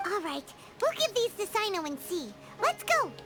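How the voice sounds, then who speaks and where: Small video game fairy: very high, squeaky, animated, earnest. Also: female voice, moderate pace. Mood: earnest, eager. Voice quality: squeaky, childlike. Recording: loud background music.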